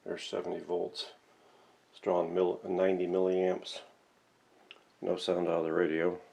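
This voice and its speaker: A man's voice: low-pitched, completely flat delivery, moderate pace, vocal fry, dark tone. A man in his sixties giving a casual, plainspoken hobby workshop vlog in a matter-of-fact way. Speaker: host of a vintage radio restoration channel. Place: cluttered indoor home workshop.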